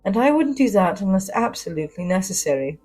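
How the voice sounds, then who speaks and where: A woman playing a witty, self-assured spy and socialite, narrating her own adventure with arch, wry, theatrical pauses. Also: medium pitch, big pitch swings, mellow, soft background music. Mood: wry, amused. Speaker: narrator of an audio drama. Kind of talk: first-person scripted dramatic narration.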